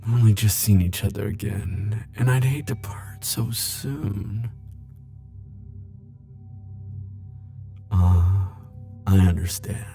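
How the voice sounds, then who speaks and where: A man performs a lovestruck immortal in an intimate ASMR romance. His voice is soft-edged, mellow and on the low side, unfolding very slowly in tender, yearning, hesitant phrases. Faint music plays beneath his breathy pleading. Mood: wistful.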